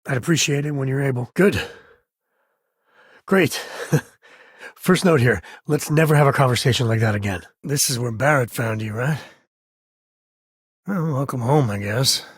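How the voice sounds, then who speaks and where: Casting: male actor as wry space-frontier cowboy for a game, husky mid-range voice, folksy, easygoing, close dry sound. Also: mid-range voice, normal speed, pauses often, dry recording, lightly acted. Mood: warm, teasing, then firm. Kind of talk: acted video game companion dialogue.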